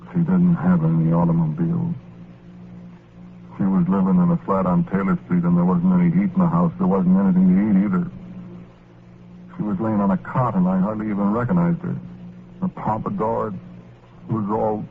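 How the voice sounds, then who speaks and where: Tinny, noisy vintage radio. gullible, soft-hearted working stiff, low voice, slangy first-person storyteller. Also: male voice, creaky. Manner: plain colloquial slangy speech with folksy exclamations. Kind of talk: old-time radio drama, first-person narration.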